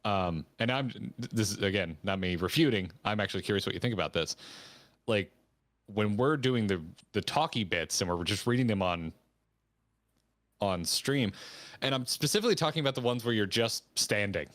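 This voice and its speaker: A man's voice: fairly low pitch, lively intonation, moderate pace, fairly bright tone, dry recording. A man co-hosting a gaming stream, giving casual, conversational reaction commentary with enthusiasm for small details.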